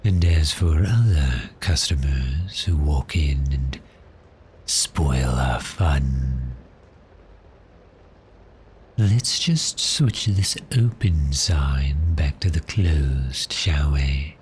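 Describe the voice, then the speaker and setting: An extremely deep-voiced male vampire, acted by a man toys politely with his prey in an ASMR roleplay. He speaks very slowly and deliberately, with courteous menace. Faint ambient noise sits behind the voice. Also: a little mellow. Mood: calm, quietly gloating. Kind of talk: slow ASMR roleplay acting.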